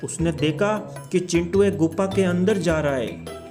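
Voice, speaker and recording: A man tells a cartoon story in a steady, scripted narration with a slightly raised pitch. Faint music sits behind his voice. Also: unhurried.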